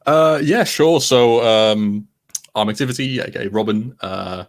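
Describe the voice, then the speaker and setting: A man chatting as a podcast guest, laid-back and self-deprecating, often trailing off quietly. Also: on the low side, unhurried. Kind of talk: casual chat.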